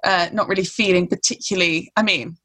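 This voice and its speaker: A woman's voice: on the low side, fairly even intonation, brisk, pushed and bright, poor audio. A woman with a British accent hosting a casual podcast conversation, chatty and swearing offhandedly. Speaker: podcast host.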